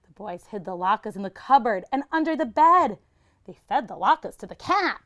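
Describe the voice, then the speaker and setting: A woman's voice: on the high side, lively intonation, moderate pace, pushed and bright. A woman reading a children's picture book aloud like a teacher, expressively and with dramatic emphasis.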